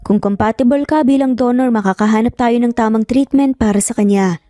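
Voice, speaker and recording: A woman's voice: a little high, fairly quick, warm tone, dry recording, clean studio sound, faint music behind, lightly acted. A woman voicing a composed, formal doctor explaining treatment in an audio story. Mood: explanatory.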